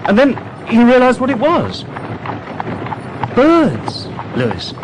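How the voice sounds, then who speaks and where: A man playing an earnest, shaken witness to strange horrors in a radio drama, speaking in halting, dramatic phrases. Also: very high voice, huge pitch swings, noisy background, full character voice. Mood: awed, grim.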